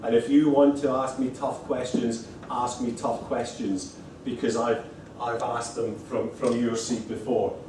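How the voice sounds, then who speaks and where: A man's voice: medium pitch, flat delivery, a little slow, a little mellow, a little hiss or hum. A middle-aged man with a Scottish accent giving an informal conference talk, stopping and restarting often. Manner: hesitant, many restarts and fillers. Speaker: speaker at a lecturers' conference. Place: conference room. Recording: echoey room from far off.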